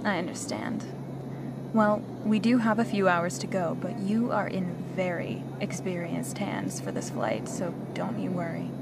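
A woman's voice: fairly low pitch, steady tone, measured pace. A woman playing a friendly, solicitous flight attendant in an audio drama, speaking in polite, reassuring patter. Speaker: voice actor in an audio roleplay. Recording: clear cabin noise behind the voice. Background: airplane cabin ambience.

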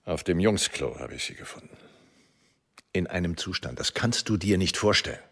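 A man's voice: deep voice, lively intonation, moderate pace, gravelly, fairly bright tone, breathy edge, heavily acted. A man playing an indignant male school colleague who confronts a teacher in a radio play. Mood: reproachful. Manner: reproachful, indignant.

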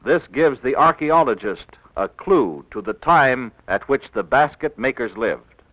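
An American man narrating a 1960s educational film in a measured, didactic, clearly enunciated way, on an old film soundtrack. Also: mid-range voice, lively intonation, fairly bright tone, no room sound, rough recording.

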